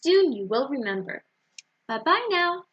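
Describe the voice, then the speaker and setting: A woman's voice: high voice, big pitch swings, unhurried, muffled recording. A woman playing a cheery, kindly miller's wife who bakes, in a children's storybook. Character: friendly challah lady, a kindly miller's wife.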